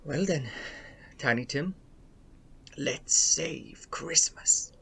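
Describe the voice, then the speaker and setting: An actor playing a weary, gruff reluctant gunfighter in a radio play, with a dry, measured manner. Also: male voice, fairly high pitch, bright, forceful tone, full character voice. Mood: dry, resolute.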